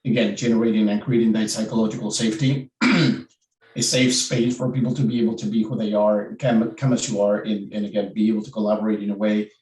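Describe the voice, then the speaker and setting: A man's voice: lowish voice, little pitch movement, quick pace, a little mellow, distant mic. Man, Argentine Spanish accent, panelist, reflective, echoey room, set back from the mic. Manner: reflective, repeats words while thinking. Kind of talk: virtual panel discussion.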